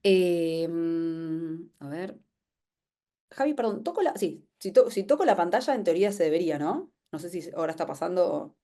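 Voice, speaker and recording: A woman's voice: on the low side, expressive, moderate pace, warm tone. A woman with an Argentine accent gives an informal explanatory webinar talk, conversational, posing questions and voicing imagined remarks. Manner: conversational, poses rhetorical questions, acts out imagined quotes. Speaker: webinar presenter.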